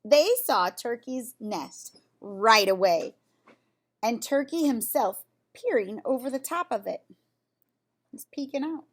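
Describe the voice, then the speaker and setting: A woman reading a children's storybook aloud in an expressive, deliberate way. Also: a little high. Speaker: storybook reader. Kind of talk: children's read-aloud narration with brief asides.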